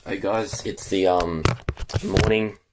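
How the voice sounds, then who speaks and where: A man's voice: low-pitched, steady tone, slow pace, very noisy. A teenage boy talking casually to his viewers as he narrates his progress on an online business. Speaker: vlogger starting an online business. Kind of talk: casual vlog talk, narrating own progress.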